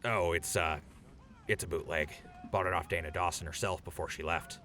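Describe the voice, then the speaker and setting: A man playing a gruff but awkward, apologetic old buddy in an audio drama, gravelly and hesitant. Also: deep voice, steady tone, normal speed, pushed and bright. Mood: relieved, fond.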